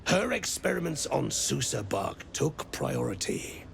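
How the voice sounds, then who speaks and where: An actor playing a calm, scholarly male mind flayer in a video game, speaking in a precise and learned manner. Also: mid-range voice, measured pace, vocal fry, a little hiss or hum, heavily acted. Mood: reminiscing. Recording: echoey reverberant room.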